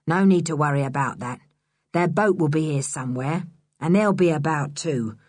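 A woman voicing a gruff, scornful middle-aged man with a hoarse voice in a storybook reading. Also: deep voice, moderate pace, darkish tone, dry recording. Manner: scornful, mocking.

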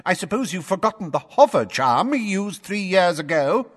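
A man voicing a pompous, blustering middle-aged official who sputters and shouts indignantly. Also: high voice, sing-song, moderate pace, big-room sound, pitched above the performer's own voice. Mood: indignant, shouting.

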